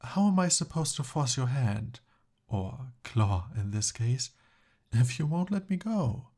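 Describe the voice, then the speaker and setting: A man's voice: medium pitch, sing-song, unhurried, a little mellow, breathy edge. A man playing a pompous young human prince in an ASMR roleplay, speaking formally but flustered and pleading. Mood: flustered, haughty.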